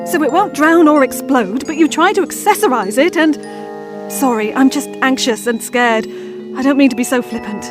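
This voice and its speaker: A woman playing a spirited, anxious young adventurer in a science fiction audio drama, expressive and flippant. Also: high-pitched, normal speed, over loud music.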